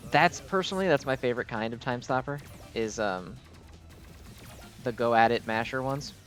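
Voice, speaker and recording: Guy doing chill gameplay commentary, rambles and gets sidetracked, normal pitch, music in the back. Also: normal speed, fairly bright tone. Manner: rambling, easily distracted delivery. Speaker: gaming video host. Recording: game music behind the voice. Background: game music.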